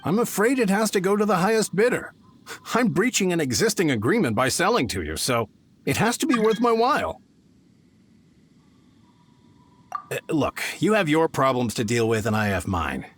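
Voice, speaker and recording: A greedy haggling merchant. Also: male voice, a little high, sing-song, a little fast, soft background music. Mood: impatient. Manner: smug bargaining, turns pleading. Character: greedy middle-aged male merchant, haggling. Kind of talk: game voice acting.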